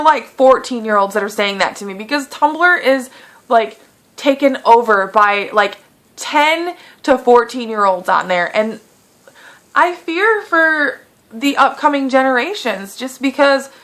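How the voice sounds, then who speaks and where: A woman in her late twenties chatting through a casual beauty Q&A vlog, full of fillers, with a lingering cough. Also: sits high. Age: 20s. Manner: chatty, lots of fillers, animated quoting. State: lingering cough, clearing throat. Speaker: beauty vlogger.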